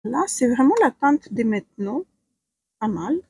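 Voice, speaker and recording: A woman's voice: on the high side, expressive, unhurried, darkish tone, husky, no echo, clean studio sound. A woman in her forties from Ukraine, speaking with a Ukrainian accent as a non-native speaker, chatting casually through a makeup tutorial at home with frequent hesitant fillers. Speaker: beauty vlogger. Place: small room at home, indoors.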